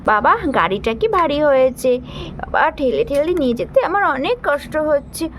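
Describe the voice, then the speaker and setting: A woman's voice: high-pitched, big pitch swings, fairly quick, fairly bright tone, slight background noise. A woman voicing a naive, chatty young daughter in a children's doll story, with a plaintive manner. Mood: straining.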